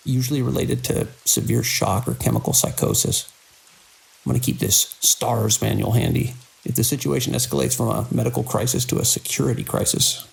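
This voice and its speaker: A man playing a weary, dedicated hospital director in an audio drama, speaking in a measured, grave way. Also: medium pitch, gravelly, slightly rough, full character voice, poor audio. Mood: strained, resolute. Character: weary, dedicated male hospital director under mounting strain. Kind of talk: audio drama acting, recorded log entries and phone call.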